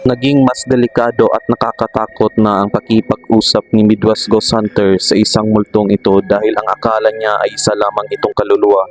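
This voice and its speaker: Low, even-toned Filipino male narrator. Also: fairly quick, hardly any pauses, soft background music. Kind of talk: scripted horror story narration.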